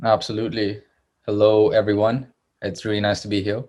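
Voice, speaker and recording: A young man introducing himself on a video call in a friendly, relaxed way from a hot room at home. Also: on the low side, a little fast. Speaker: service designer. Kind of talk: casual self-introduction.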